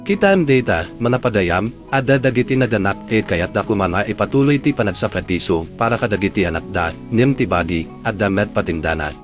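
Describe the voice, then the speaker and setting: A man's voice: lowish voice, animated, fairly quick, hardly any pauses, over music. A man with an Ilocano Filipino accent hosting and narrating a sentimental radio drama in an earnest, emphatic way.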